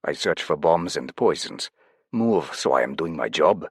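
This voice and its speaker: A man voicing a burly male ex-SEAL putting on a fake German accent, speaking gruffly. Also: a bass voice, lively intonation, unhurried, no echo, studio quality, full character voice. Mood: firm. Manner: gruff, clipped.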